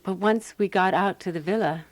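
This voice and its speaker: Actress as female amateur sleuth, radio play, composed, deliberate, quietly assured, mid-pitched voice. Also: lightly acted. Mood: measured narrating. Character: Alice, calm, practical woman amateur detective narrating her case. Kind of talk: radio drama dialogue and first-person narration.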